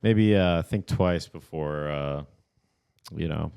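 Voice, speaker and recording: A man's voice: rumbling low, takes it slowly, vocal fry, warm tone, clear, resonant tone. A man co-hosting a comedy podcast, rambling and stammering through jokes in casual chat. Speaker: podcast co-host.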